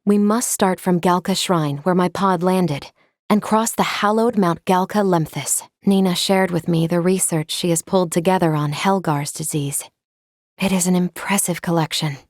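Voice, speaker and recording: An actress voicing a composed, polite female android in a video game, speaking in formal, measured, precise phrases. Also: fairly low pitch, fairly even intonation, no echo, clean studio sound, natural voice. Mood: calm, polite. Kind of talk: scripted video game character lines.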